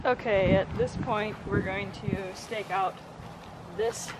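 A woman's voice: mid-range voice, fairly even intonation, slow pace. A middle-aged woman giving a casual how-to demonstration outside, speaking to a camera a few meters away. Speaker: host demonstrating tent setup. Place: grassy yard outdoors beside a house. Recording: wind-buffeted outdoor camera audio, loud noise.

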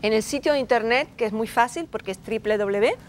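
An older woman singer from Argentina, speaking Spanish with an Argentine accent tinged by Italian, chatting relaxed in a TV studio interview. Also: fairly high pitch, brisk. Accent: Argentine Spanish with Italian touches. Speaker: singer guest. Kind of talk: casual interview chat. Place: TV studio set, on an orange sofa.

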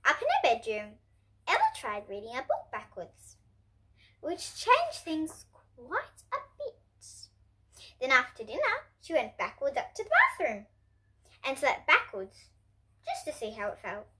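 Casting: preteen girl, high animated voice, expressive storybook reader for little kids. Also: a little slow, pauses often. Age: child, about ten to twelve. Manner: expressive, presentational reading to young listeners. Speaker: children's picture book reader, host. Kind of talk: storybook read-aloud for children. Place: indoors at home, in front of bookshelves.

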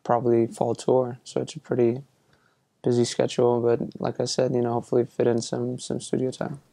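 A young man from Iceland answering interview questions casually, with a relaxed, hesitant manner full of fillers and restarts. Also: medium pitch, brisk, creaky, dry recording. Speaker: musician being interviewed.

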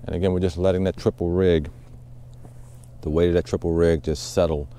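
A man hosting a fishing channel, explaining technique in a relaxed, casual way with many fillers while out on a boat on a lake. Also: deep voice, brisk, a little hiss or hum. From Southwest Ohio.

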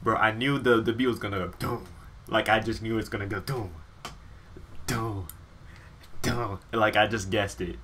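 A man in his twenties with an American accent gives casual, slangy reaction commentary. His voice sits fairly low. He speaks excitably and often doubles back on his phrases. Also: normal speed, long pauses, slight background noise. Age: 20s. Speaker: reaction video host. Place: small bedroom, indoors. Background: hip hop music playing.

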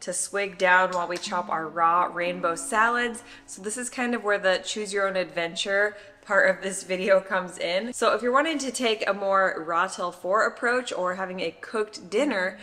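A woman's voice: medium pitch, moderate pace, few pauses, sharp tone. American woman vlogging in a reverberant room. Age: 30s. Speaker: family vlogger. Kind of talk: casual explaining to camera. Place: home kitchen.

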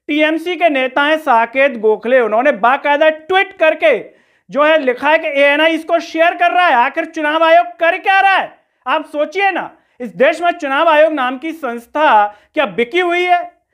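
A man's voice: very high-pitched, fairly bright tone. A man presents political commentary in a rhetorical, questioning, accusatory way. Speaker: news commentary host. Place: small room indoors.

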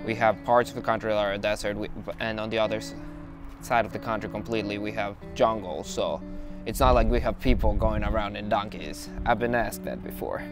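A man's voice: fairly low pitch, steady tone, fairly quick, music in the background. A young man from Mexico, a student explaining earnestly in an outdoor interview. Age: young adult. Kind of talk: casual interview. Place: outdoor stone steps.

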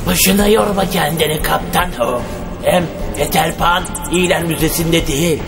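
A calm sly vampire, slightly high voice, music behind. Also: male voice, moderate pace, gravelly.

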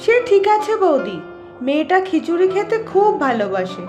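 Very high-pitched, scolding village housemaid. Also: female voice, sing-song, moderate pace. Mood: familiar, brisk. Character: chatty village housemaid and nagging wife and mother. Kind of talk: Bengali cartoon voice acting. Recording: roomy echo, loud music bed. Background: music.

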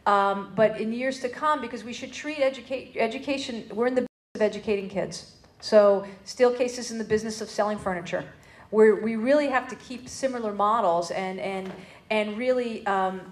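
An American woman in her forties speaking earnestly and off the cuff as a panelist. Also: medium pitch. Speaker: panelist, educator. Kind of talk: unscripted panel discussion. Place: meeting room, indoors. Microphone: tabletop stand microphone. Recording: echoey meeting room.

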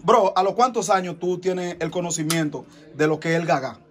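Dominican host asking questions fast and friendly, kinda high voice. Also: male voice, fairly even intonation. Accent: Dominican Spanish. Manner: chatty, friendly, quick follow-up questions. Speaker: interviewer, host. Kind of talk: casual interview.

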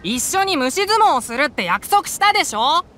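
A woman's voice: high voice, very animated, normal speed, sharp tone, full character voice. An actress voicing a small, cheerful boy with a sweet, pleading way of talking. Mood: eager. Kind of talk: Japanese game voice acting. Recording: game audio with faint music underneath.

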